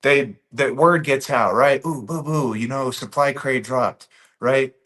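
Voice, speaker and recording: A man from Bosnia talks as a guest in a casual podcast chat. His voice sits at an ordinary middle pitch. He speaks earnestly and stresses his points by repeating them. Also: measured pace, fairly bright tone. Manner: earnest and emphatic, repeats key phrases.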